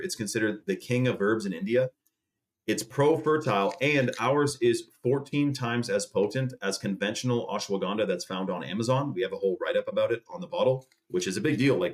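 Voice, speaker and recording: A man in his late twenties or early thirties, a channel host talking casually on a livestream while pitching a sponsor and saying dude and man a lot. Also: brisk. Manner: chatty, salesy, says dude and man a lot.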